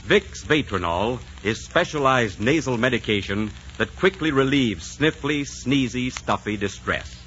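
Male vintage radio announcer, smooth sales patter, friendly, muffled and lightly noisy recording. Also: mid-range voice, animated, measured pace, hardly any pauses, a bit bright. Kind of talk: 1940s scripted radio commercial narration.

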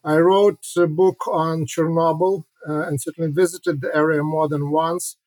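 A middle-aged man from Ukraine, speaking in a fairly high voice. He answers reflectively and haltingly, often repeating words and filling pauses with "uh". The sound is dry and close. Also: little pitch movement, a little mellow, dry recording, rough recording. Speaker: historian and author, guest.

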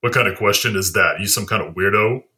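A gruff, wry magazine man, played by a male actor, in an audio drama. His deep voice has a bright, forceful edge as he pries in short, clipped phrases. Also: quick pace. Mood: gruff, curious. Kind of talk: audio play acting.

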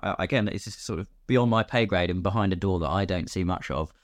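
Low-voiced man chatting in an interview. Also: quick pace, no echo, studio quality. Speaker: guest, radio drama actor. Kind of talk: casual interview chat.

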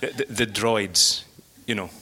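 An actor playing an eager, bookish library curator in live audio comedy, hesitant and fussy yet enthusiastic, in an echoey hall. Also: male voice, lowish voice, a little slow, background noise, natural voice. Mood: awkward.

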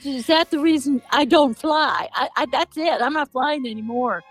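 A woman's voice: high-pitched, normal speed, faint music behind. An older woman chatting casually on a cell phone as a call-in regular, grumbling comically and rambling along. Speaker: regular call-in guest, the host's mother. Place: outdoors on a deck, on a cell phone.

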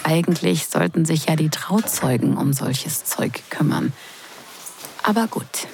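Actress as sarcastic, flustered young woman, even-pitched. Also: a little slow, gravelly, a little hiss or hum, heavily acted. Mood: exasperated, confiding. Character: sarcastic, flustered young sister of the groom, secretly smitten. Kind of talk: intimate first-person audio drama narration and dialogue.

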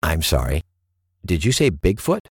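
An actor playing a calm, attentive male late-night radio host, measured and courteous as he probes his callers. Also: a bass voice, slightly rough, no echo, clean studio sound, heavily acted. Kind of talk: radio call-in acting in audio drama.

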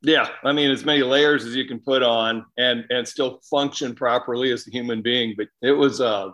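A man's voice: mid-range voice, steady tone, moderate pace, fairly bright tone, poor audio. A middle-aged man with an American accent, co-hosting and reminiscing in casual chat. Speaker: podcast co-host, former professional pitcher.

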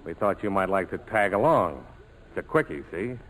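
An American actor playing a tough, loyal ex-bomber pilot in a 1940s radio drama, speaking in clipped, terse phrases. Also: male voice, deep voice, sing-song, normal speed, fairly bright tone, slight background noise, rough recording. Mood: brisk, determined. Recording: vintage 1940s radio broadcast.

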